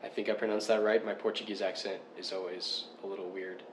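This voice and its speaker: A man's voice: low-pitched, flat delivery, fast talker. A man co-hosting a casual chat, asking curious questions and laughing easily. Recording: echoey room with faint noise.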